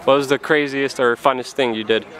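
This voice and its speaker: A man's voice: mid-range voice, steady tone, fairly quick, poor audio. A man interviewing casually for a vlog outdoors, with an enthusiastic, informal manner. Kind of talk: casual chat. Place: open field outdoors. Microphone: behind a handheld camera. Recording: outdoor crowd noise.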